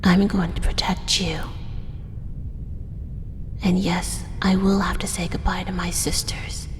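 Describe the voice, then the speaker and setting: A woman voicing a possessive female drider in an audio roleplay, whispering slowly and softly in a doting, controlling way. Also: lowish voice, flat delivery, big-room sound, soft background music, close to an everyday voice. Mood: whispery, possessive. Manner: soft, slow, whispered, doting yet possessive.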